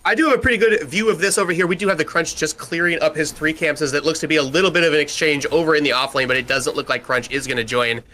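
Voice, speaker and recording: A man calling an online match with a loud, powerful voice and rapid, energetic play-by-play. His tone is bright and forceful, and the words pour out quickly. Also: a little high. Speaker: esports caster. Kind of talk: play-by-play commentary.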